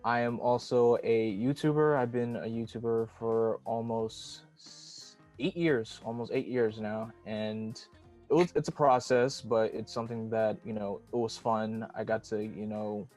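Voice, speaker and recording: A man's voice: medium pitch, fairly even intonation, unhurried, a little mellow, soft background music. A man in his twenties introducing himself on a video call, rambling a little and filling his sentences with "you know". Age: 20s. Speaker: guest. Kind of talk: casual self-introduction.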